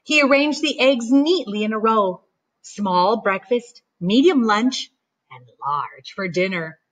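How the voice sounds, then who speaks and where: A middle-aged woman reading a storybook aloud to children in an expressive, deliberate way, like a teacher. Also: on the high side. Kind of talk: animated storybook read-aloud. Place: living room at home, indoors.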